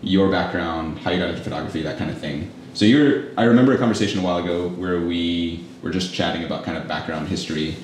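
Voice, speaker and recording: A man's voice: low-pitched, fast talker, few pauses, a little hiss or hum. A man hosting a casual interview for a coffee shop, chatty and rambling with lots of "like". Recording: echoey room, mic at a distance.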